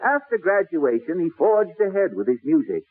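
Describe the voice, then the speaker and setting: A man's voice: on the high side, big pitch swings, normal speed, a faint crowd, slight background noise. A man narrating 1940s radio comedy in a mock-grand, theatrical manner, on an old narrow-band radio recording. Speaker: comic announcer.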